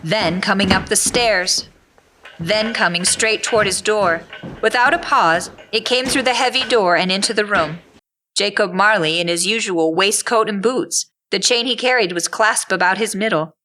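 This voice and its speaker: A woman's voice: mid-range voice, normal speed, bright, forceful tone. A woman narrating an audio drama in a scripted storybook style.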